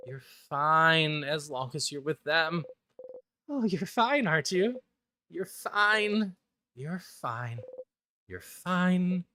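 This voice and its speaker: A person voicing a despairing hero's obsessive, bitter inner monologue in a dramatic game voice-over, intense and halting, repeating phrases. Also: medium pitch, pushed and bright, slight background noise.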